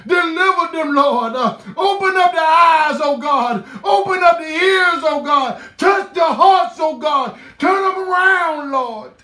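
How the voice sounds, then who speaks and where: A man's voice: very high-pitched, slow pace, few pauses, pushed and bright, raised voice. A middle-aged man leading a fervent spoken prayer, pleading and calling out over and over with great passion. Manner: impassioned, pleading, repeated cries to God. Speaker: prayer leader. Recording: roomy echo.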